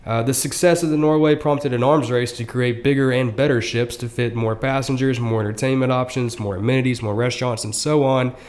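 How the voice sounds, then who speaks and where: A man's voice: medium pitch, fairly even intonation, a little mellow. Easygoing young man explaining casually. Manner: conversational, many fillers, quick asides. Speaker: podcast co-host.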